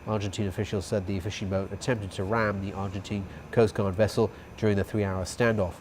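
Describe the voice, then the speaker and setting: A man reading the evening news as anchor, in a steady, formal delivery. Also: on the low side, brisk, noisy background.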